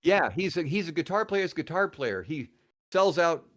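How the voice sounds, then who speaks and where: A man's voice: on the high side, sing-song, normal speed, a bit bright. A middle-aged American man telling stories in casual conversation as a podcast guest, rambling and enthusiastic. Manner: rambling, enthusiastic about music. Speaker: podcast guest, guitarist and radio host.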